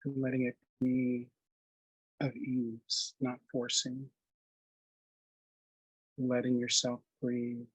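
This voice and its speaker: A man leading a guided meditation in a gentle, unhurried manner, leaving long pauses between short phrases. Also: mid-range voice, completely flat delivery, highs cut off. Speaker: meditation instructor.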